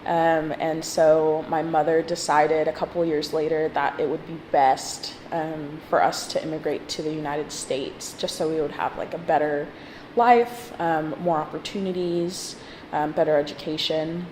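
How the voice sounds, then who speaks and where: A woman in her twenties from Zimbabwe and Texas, talking reflectively and casually in an interview, often pausing mid-phrase. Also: low-pitched, steady tone, a little mellow. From born in Zimbabwe, grew up in Texas from age four. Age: 20s. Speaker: graduate student interviewed in a documentary. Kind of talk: personal interview, reflective talk. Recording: roomy echo, faint background noise.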